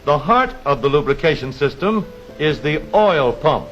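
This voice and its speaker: A man narrating a scripted 1930s educational film in a measured, formal announcer's delivery with precise, explanatory phrasing. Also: on the high side, expressive. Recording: dull vintage 1930s film soundtrack, music behind.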